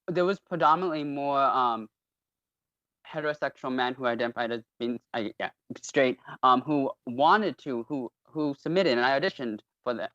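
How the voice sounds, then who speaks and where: A man's voice: mid-range voice, fairly bright tone, dry recording, highs cut off. A man who worked on casting, speaking hesitantly as a podcast guest. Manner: hesitant, many fillers and restarts. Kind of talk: podcast interview.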